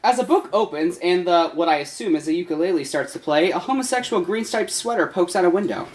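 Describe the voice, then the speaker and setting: A woman's voice: on the low side, expressive, quick pace, a little mellow. A woman reading a comic story live as part of a comedy troupe, a little tipsy and stumbling over words. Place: live show in a room.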